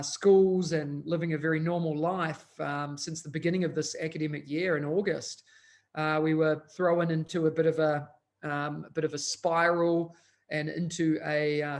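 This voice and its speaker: Male host presenter, slightly high voice, filler-heavy delivery. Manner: fluent but full of uh and um fillers. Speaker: education consultant and host. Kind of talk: conference presentation.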